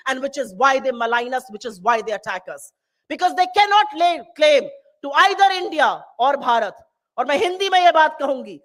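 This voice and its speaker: High-voiced woman giving a rousing speech. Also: a bit bright. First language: Hindi. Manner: rousing, repetitive rhetoric. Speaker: political speaker. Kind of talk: passionate public speech. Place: town hall.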